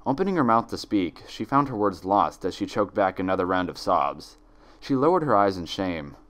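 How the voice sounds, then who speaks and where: A man narrating a story like a dramatic audiobook, in a theatrical, measured manner. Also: lowish voice.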